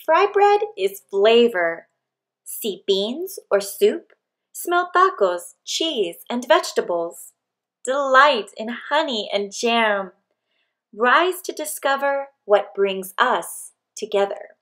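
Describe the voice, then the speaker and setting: A woman narrating a picture book aloud expressively, with deliberate phrasing and pauses between short phrases. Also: a little high, big pitch swings, fairly bright tone.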